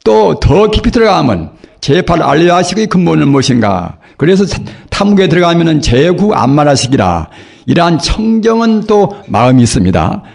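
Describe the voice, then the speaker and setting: An older man, a Buddhist monk, giving a sermon in a hoarse voice from a cold, preaching slowly and earnestly with rhetorical questions. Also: fairly high pitch, big pitch swings, a little mellow. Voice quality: gravelly, husky. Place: temple dharma hall.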